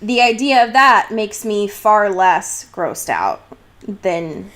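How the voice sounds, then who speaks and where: A woman's voice: mid-range voice, very animated, slow pace, a bit bright. A young woman co-hosting a podcast in casual banter, irreverent and freely swearing in slang. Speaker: podcast co-host.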